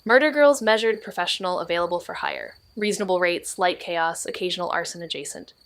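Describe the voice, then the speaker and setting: A woman's voice: on the low side, a little fast, fairly bright tone, no echo. An actress playing a wry, composed young woman detective in a naturalistic audio drama, speaking in a dry, understated way. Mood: dry, teasing. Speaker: lead actor and narrator in an audio drama. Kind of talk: naturalistic noir podcast drama acting.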